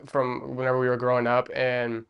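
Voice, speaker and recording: A man's voice: medium pitch, monotone, normal speed, creaky, clean tone, muffled recording. A man in his twenties with an American accent, chatting casually as a podcast guest. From Virginia, United States. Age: 20s. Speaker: podcast guest, film editor. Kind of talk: casual chat.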